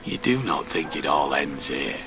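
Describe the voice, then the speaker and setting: An actor playing a cold, vengeful, possessive farm master in a radio drama, speaking with deliberate menace. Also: male voice, very deep voice, sing-song, heavily acted. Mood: cold, menacing.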